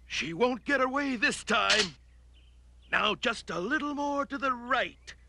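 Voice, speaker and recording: A man's voice: extremely high pitch, expressive, takes it slowly, gravelly, noisy background, exaggerated voice. A man voicing a determined old farmer out hunting in a cartoon. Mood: alert, determined. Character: old farmer, retired engineer, determined hunter. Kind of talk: cartoon voice acting.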